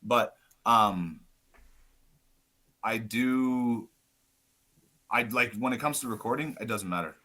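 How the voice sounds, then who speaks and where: A man guest on a podcast, talking loud and casual, swearing often and rambling as he goes. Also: medium pitch, slow pace, long pauses. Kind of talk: casual chat.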